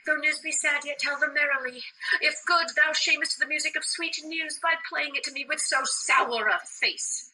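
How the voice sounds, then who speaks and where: A woman's voice: high voice, normal speed, pushed and bright. A woman playing an impatient, lovestruck teenage girl in a theatrical Shakespearean drama, declaiming and pleading with dramatic emphasis. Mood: eager, anxious. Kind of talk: theatrical Shakespearean stage acting. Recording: distant microphone.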